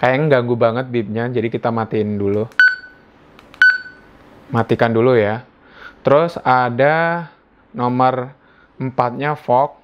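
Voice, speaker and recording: A man's voice: mid-range voice, steady tone, takes it slowly, pauses often, slight background noise. An Indonesian man giving a casual hands-on gadget review indoors at home, talking informally as if thinking aloud. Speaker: product reviewer. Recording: dull lo-fi sound.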